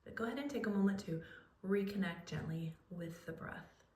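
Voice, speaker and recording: A woman leading a guided relaxation, speaking in a soothing, unhurried way with pauses as she gives gentle instructions. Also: mid-range voice, lively intonation. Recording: roomy echo, distant microphone.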